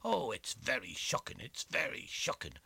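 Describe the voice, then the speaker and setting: A man voicing a timid, easily shocked old man who talks in a fussy, hushed, scandalised way. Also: mid-range voice, huge pitch swings, normal speed, creaky, breathy edge, dry recording, heavily acted.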